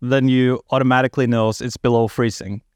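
Man, non-native English speaker, halting, smooth clear voice, dry close sound. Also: medium pitch, flat delivery, a little mellow, dry recording. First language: not English. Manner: halting, with many fillers and restarts. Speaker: composer guest. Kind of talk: casual podcast chat.

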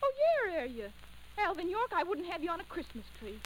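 Sweet, feisty country girl: folksy Southern US dialect, lively swooping pitch, vintage radio play. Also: brisk, a little hiss or hum, heavily acted, poor audio. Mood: indignant, sassy. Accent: rural Southern US. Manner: animated, folksy backwoods dialect. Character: sweet but sassy young backwoods country girl. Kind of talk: old radio drama acting.

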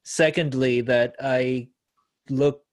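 A man's voice: medium pitch, fairly even intonation, slow pace, dry recording. Man, 30s, from south korea, pastor, jokey and conversational, dry close sound. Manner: joking, laughs easily, conversational. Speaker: pastor and apologist. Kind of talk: casual interview guest.

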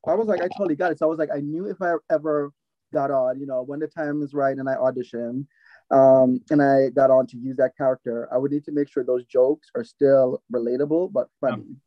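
A man with a Jamaican accent in casual chat, retelling his reactions in an animated way, on a slightly lo-fi recording. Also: mid-range voice, a little fast, dry recording.

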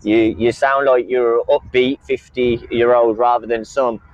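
A man's voice: mid-range voice, flat delivery, unhurried, no echo. Rambling man on a dull line. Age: 30s. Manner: rambling, repeats words, many fillers. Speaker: caller. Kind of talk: casual call-in chat.

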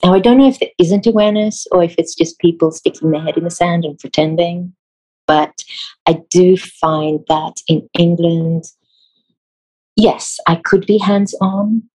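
Husky low-voiced woman, thoughtful. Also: dark tone, rough recording. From Israel. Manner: thoughtful, measured, with pauses and self-corrections. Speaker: theatre designer. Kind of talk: interview answers, reflective.

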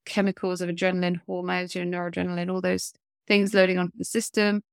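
A woman's voice: on the low side, level tone, fast talker, creaky, clean tone, no echo, studio quality. A woman in her forties, a coach speaking as an interview guest, talking earnestly with a blocked-up nose and many fillers. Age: 40s. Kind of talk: casual interview chat.

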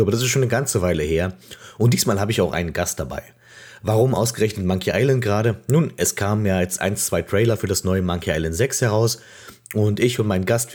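A man hosting a gaming podcast, talking casually and playfully, his voice croaky from a cold. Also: on the low side, darkish tone. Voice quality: husky. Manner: chatty, playful, many fillers. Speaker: podcast host.

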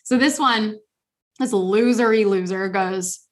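A woman co-hosting a podcast in casual chat, blunt and animated, swearing freely. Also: medium pitch, a little slow. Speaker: podcast co-host.

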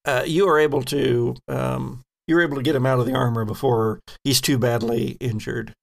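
A man narrating a tabletop game as game master, with a halting, descriptive storytelling manner. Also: mid-range voice, steady tone, gravelly.

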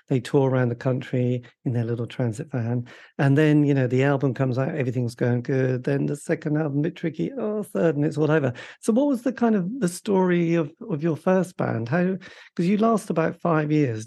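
Mellow-voiced male interviewer. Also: medium pitch, fairly quick, vocal fry. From Britain. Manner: rambling, eager questions. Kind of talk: conversational interview.

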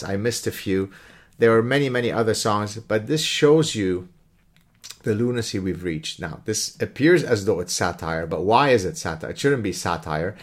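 A middle-aged man from Canada hosting his own channel, talking in a casual mocking monologue and now and then breaking into off-key snippets of song. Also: on the low side.

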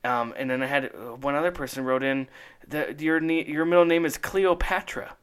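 A man's voice: on the high side, fairly even intonation, normal speed, clean tone. A man co-hosting a casual podcast, chatty and laughing often, repeating his words as he goes. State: feeling run down. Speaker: podcast co-host. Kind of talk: casual chat.

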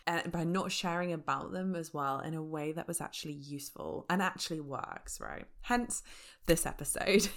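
A woman talks chattily to her podcast listeners. She laughs often, leans on fillers, and sounds slightly croaky from a sore throat. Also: low voice. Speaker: podcast host. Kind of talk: casual solo podcast talk.

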